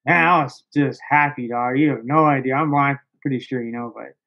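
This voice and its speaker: A man telling a story casually as a podcast guest, in a slangy, excitable way with plenty of swearing. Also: medium pitch, a little fast, creaky, muffled recording. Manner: slangy, excitable, profanity-laced, peppered with "bro" and "dog".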